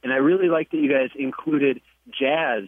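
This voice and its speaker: A man's voice: medium pitch, moderate pace, no room sound, phone-quality sound. Guy in his 20s, eager and a bit rambly, leaving a message. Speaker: caller. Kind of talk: voicemail message.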